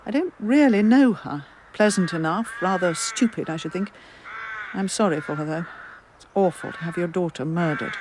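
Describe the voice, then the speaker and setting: An actress playing a brisk, frank, opinionated middle-aged British woman in a radio mystery. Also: fairly low pitch, noisy background, lightly acted. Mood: frank, gossipy. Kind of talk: radio drama acting.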